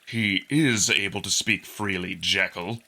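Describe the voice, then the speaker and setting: A man's voice: deep voice, steady tone, a little slow, pushed and bright, heavily acted. A man voicing a big, hot-tempered, protective male wolf alien in a visual novel, speaking in a stern, curt, indignant way. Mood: angry. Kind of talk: visual novel character acting.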